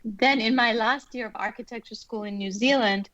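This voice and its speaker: A woman's voice: on the high side, normal speed, a bit bright, dry recording. A middle-aged woman from Austria, a guest answering interview questions thoughtfully with light laughs. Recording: dull lo-fi sound, dry.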